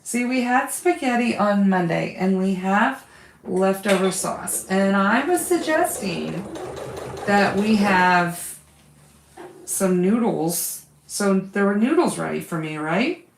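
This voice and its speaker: Lady who lost her voice, chatting slow over her sewing machine, roomy echo. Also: mid-range voice, expressive, creaky. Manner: chatty, reads out comments while working. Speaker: quilting livestream host. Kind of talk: casual chat with viewers while sewing.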